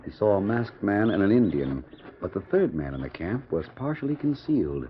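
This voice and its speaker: A man's voice: on the low side, sing-song, moderate pace, a little hiss or hum. An American man narrating a 1940s radio western in a grand, theatrical scripted style. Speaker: announcer and narrator. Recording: muffled vintage 1940s radio recording.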